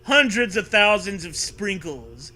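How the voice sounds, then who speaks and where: A man voicing a huge, brash, gung-ho cyclops soldier in a commanding, shouted delivery for a comic story reading. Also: sits high, very animated, measured pace, exaggerated voice. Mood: grave, urgent.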